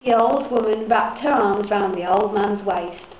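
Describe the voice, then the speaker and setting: A woman's voice: mid-range voice, measured pace. A young woman reading a children's picture book aloud as a student narrator. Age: young adult. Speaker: student reader. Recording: echoey, muffled room, faint background noise.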